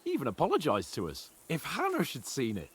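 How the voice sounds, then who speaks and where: A man's voice: on the high side, wildly swooping pitch, quick pace, slightly rough, heavily acted. An actor playing a cocky, teasing young nobleman in a game cutscene, joking and boastful with his friend. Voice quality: playful, mocking.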